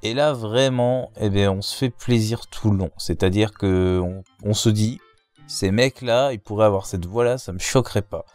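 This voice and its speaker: A man casually answering viewer questions in an animated, joking way. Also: on the low side, normal speed, no room sound, faint music behind. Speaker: fandub group member.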